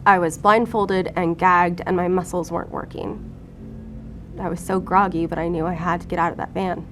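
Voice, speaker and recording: A woman's voice: lowish voice, steady tone, moderate pace, fairly bright tone, slight background noise, natural voice. Woman acting a guarded, sardonic federal agent. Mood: bleak, pained. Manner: sardonic, defiant. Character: wounded, guarded female FBI agent recounting trauma. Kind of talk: audio drama acting, confessional.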